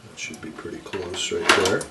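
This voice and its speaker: A man with a lowish voice talks through a hands-on repair job. His delivery is very slow, hesitant and unscripted. The sound is noticeably roomy, with a noisy background. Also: little pitch movement, distant mic. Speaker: electronics repair video host. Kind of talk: hands-on repair tutorial narration.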